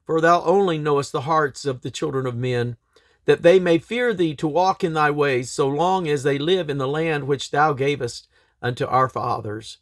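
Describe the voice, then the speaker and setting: A man reads aloud from the King James Bible in a solemn, measured, declamatory way, phrase by phrase. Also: a little high, no room sound, clean studio sound. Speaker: scripture reader and host.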